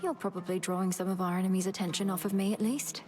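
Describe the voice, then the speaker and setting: A woman's voice: mid-range voice, little pitch movement, fast talker, natural voice. Woman as a composed, wry young witch. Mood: polite, dry. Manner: formal, dry. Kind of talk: game character acting. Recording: loud music behind.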